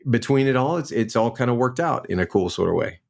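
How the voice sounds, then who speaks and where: A man in his forties from New Orleans, a guest actor telling his career story in a relaxed, chatty way on a remote call. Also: medium pitch, fast, creaky. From New Orleans, Louisiana. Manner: relaxed, storytelling, frequent "man" and "you know". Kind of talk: casual interview chat.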